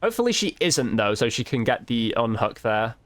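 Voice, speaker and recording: A man's voice: medium pitch, very animated, a little fast, a bit bright, a little hiss or hum. A man giving casual gameplay commentary, informally thinking aloud as he plays. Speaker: gaming video creator.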